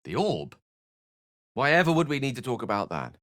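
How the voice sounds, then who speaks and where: A man's voice: mid-range voice, big pitch swings, moderate pace, fairly bright tone, dry recording, clean studio sound. A man playing an erudite, witty wizard in a fantasy video game, speaking with eloquent, wry and courteous theatricality. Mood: wry, amused.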